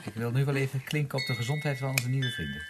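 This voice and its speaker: An actor playing a conventional middle-aged husband in a radio play, genial, hesitant and placating. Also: male voice, mid-range voice, lively intonation, fast, very noisy, close to an everyday voice.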